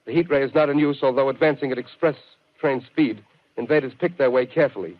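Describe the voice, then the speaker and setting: An actor playing a male radio news announcer, reading urgent war bulletins in a rapid, clipped delivery on a scratchy 1930s radio broadcast. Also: mid-range voice, narrow, tinny audio, close to an everyday voice. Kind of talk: 1930s radio drama acting.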